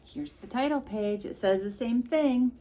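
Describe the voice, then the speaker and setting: A woman reading a storybook aloud to young children, expressive and sing-song, with chatty asides addressed straight to the listeners. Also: a little high, unhurried.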